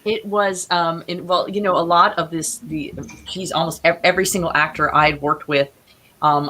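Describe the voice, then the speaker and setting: A woman with a very low voice chats casually in an interview. She is enthusiastic but halting, often regrouping mid-thought. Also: fairly bright tone. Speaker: guest playwright.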